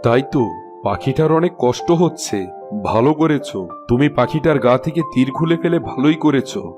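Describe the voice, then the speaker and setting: A man's voice: on the high side, brisk, music in the background, lightly acted. A man voicing a caring but commanding king in a Bangla cartoon fairy tale.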